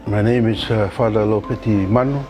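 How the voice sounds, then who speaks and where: A man's voice: fairly low pitch, fairly even intonation, brisk. A man from Tonga with a Tongan accent, a priest giving a personal testimony. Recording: music bed behind the voice.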